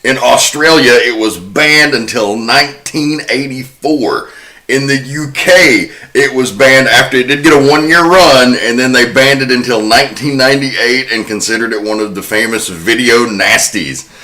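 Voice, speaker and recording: A man's voice: mid-range voice, moderate pace, bright, forceful tone, poor audio. A man hosting a podcast, giving prepared commentary on film history. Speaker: podcast host.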